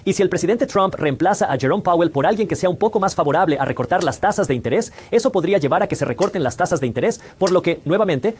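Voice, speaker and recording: A man narrates a scripted explainer in a fairly high-set voice. He moves very quickly through his points. His manner is didactic, posing questions and answering them like a teacher. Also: a little mellow, slight background noise. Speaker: host of a finance explainer channel. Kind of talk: scripted explainer narration, dubbed from another language.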